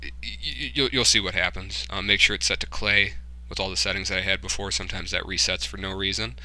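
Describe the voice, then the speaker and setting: Casual male tutorial host, low-pitched with a very crisp, forward edge, informal and muttering through each step. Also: fairly even intonation, fairly quick, bright, forceful tone. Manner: informal, muttering to himself while working. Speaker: tutorial host, hobbyist game developer. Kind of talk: casual screen-recorded software tutorial, thinking aloud.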